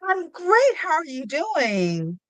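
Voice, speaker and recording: A woman's voice: sits high, huge pitch swings, takes it slowly, a bit bright, no echo. A woman hosting a podcast, chatting casually and interviewing in a playful, effusive way. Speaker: podcast host.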